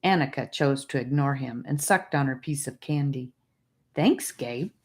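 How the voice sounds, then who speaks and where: A woman's voice: very deep voice, moderate pace, slightly rough. A woman reading a scripted audiobook story as its narrator.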